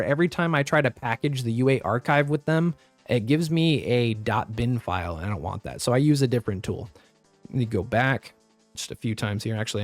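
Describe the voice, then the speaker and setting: A man with a slightly raspy voice, recovering from the flu, giving a step-by-step software tutorial as a voice-over. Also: medium pitch, no room sound. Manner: step-by-step instructive, plain and practical. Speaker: tutorial host. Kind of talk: screen-recorded software tutorial.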